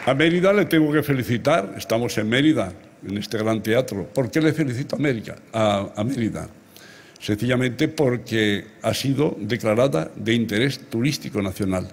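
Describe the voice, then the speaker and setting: Husky older Spanish man giving a speech. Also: medium pitch, lively intonation, moderate pace. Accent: Spanish from Spain. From Extremadura, Spain. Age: 70s. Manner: emphatic, rhetorical, addressing listeners directly. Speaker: award recipient giving a speech. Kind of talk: ceremonial public speech. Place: large open-air ancient theatre stage at night, before a big audience. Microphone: lectern microphones. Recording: amplified outdoor speech with crowd sounds.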